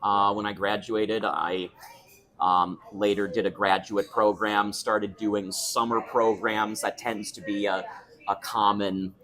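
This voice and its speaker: Young baritone man, somewhat low voice. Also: little pitch movement, slow, crowd noise. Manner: chatty, thoughtful, with restarts and laughs. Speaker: opera singer, guest. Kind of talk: casual interview chat. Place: restaurant.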